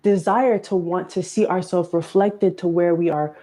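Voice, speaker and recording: A woman giving earnest, emphatic social commentary in a video clip, talking in quick bursts. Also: on the low side, fairly even intonation, warm tone.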